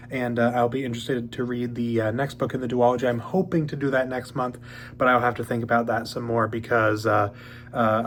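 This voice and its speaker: A young man hosting a casual book channel, talking in a relaxed, rambling way with many ums, a little sniffly as he gets over a cold. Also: medium pitch, a little hiss or hum. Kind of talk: casual monthly reading update.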